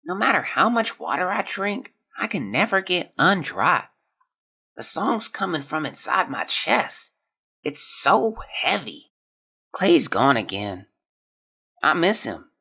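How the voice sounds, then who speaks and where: A performer playing a sickly, dreamy teenage boy, delivering a yearning journal monologue in a husky, breathy voice for an audio drama. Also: medium pitch, big pitch swings, slow, pushed and bright, full character voice. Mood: yearning, resigned.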